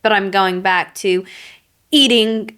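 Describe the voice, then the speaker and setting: Female podcast host, clear smooth tone, frank and chatty. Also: mid-range voice, fairly even intonation, slow, sharp tone. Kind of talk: casual podcast chat.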